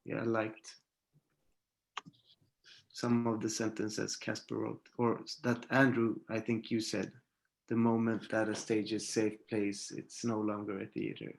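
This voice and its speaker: A man sharing reflections in a halting way as a workshop participant. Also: lowish voice, completely flat delivery, vocal fry, a little hiss or hum, low-quality recording.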